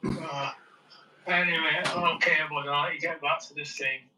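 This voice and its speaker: A man's voice: on the high side, steady tone, moderate pace, crowd noise. A man calling in to a live stream, joking and then signing off to everyone with good wishes. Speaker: caller. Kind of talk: casual call-in chat. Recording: echoey phone call from far off.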